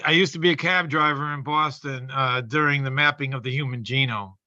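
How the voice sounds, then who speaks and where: Middle-aged man, forceful bright tone, rambling, halting, earnest, dry lo-fi video call sound. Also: a little high, no room sound. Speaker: open mic poet. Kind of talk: casual explanatory talk. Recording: dull lo-fi call audio.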